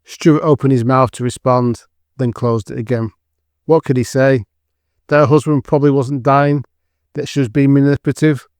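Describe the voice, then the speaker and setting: A man's voice: mid-range voice, steady tone, normal speed, a little mellow, clear, resonant tone, no echo. A man giving scripted dramatic narration for an audio drama.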